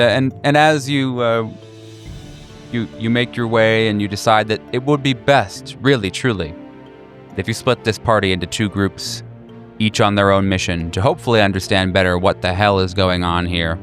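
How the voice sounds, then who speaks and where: A man's voice: deep voice, fairly bright tone. A man narrating a horror tabletop game as game master, in ornate, literary phrasing.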